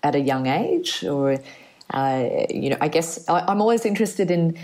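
A woman hosting a podcast interview, asking questions in a friendly, measured way. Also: low voice, lively intonation, a little mellow. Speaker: podcast host.

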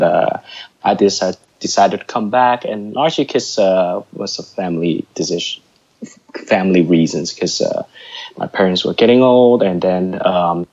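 A man's voice: low voice, a little mellow, lo-fi sound. A man from Hong Kong with a slightly American accent, talking as an interview guest with lots of fillers and restarts. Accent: American-tinged English. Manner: hesitant, many fillers and restarts. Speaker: guest, startup co-founder. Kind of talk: casual interview chat.

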